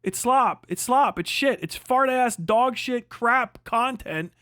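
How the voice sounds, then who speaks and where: A man's voice: very high voice, takes it slowly, a bit bright, no room sound, clean studio sound. A man hosting a livestream, giving an unscripted, opinionated rant in an emphatic, repetitive, heavily profane way. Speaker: livestream host.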